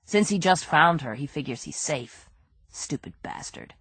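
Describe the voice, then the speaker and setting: A woman voicing a weary male police detective who speaks quietly and steadily. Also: low voice, lively intonation, normal speed, no echo. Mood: quiet firm.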